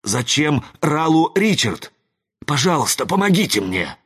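A man's voice: sits low, animated, normal speed, a bit bright, breathy edge, exaggerated voice. A man playing a great noble wizard, anxious and burdened, speaking in an earnest, dramatic manner in a fantasy audiobook. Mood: pleading.

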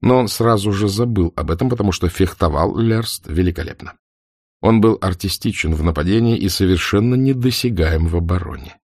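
A man reads a novel aloud at an unhurried, even pace. His voice sits very low. The sound is dry and close to the mic. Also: no echo. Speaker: audiobook narrator. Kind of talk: audiobook narration of a spy novel.

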